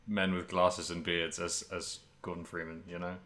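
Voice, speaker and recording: A man's voice: low voice, level tone, moderate pace, pushed and bright. A man co-hosting a podcast about video games, chatty and meandering as he thinks aloud in casual conversation. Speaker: podcast co-host. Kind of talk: casual video game discussion.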